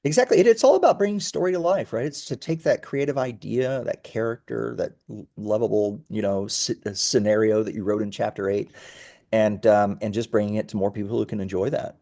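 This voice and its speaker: A man speaking as a company founder and interview guest, informally pitching his work in an easygoing, halting way with friendly asides. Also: dark tone. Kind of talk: casual conversational pitch.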